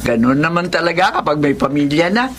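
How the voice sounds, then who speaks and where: A woman's voice: deep voice, animated, a little fast, a little hiss or hum. A kindly older grandmother. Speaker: mother-in-law. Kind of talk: radio drama acting.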